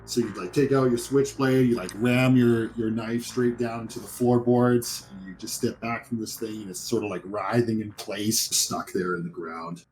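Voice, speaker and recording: A man's voice: lowish voice, steady tone, moderate pace, few pauses, a little mellow, soft background music, poor audio. A man narrating a tabletop horror game as game master, improvising descriptions in a casual way.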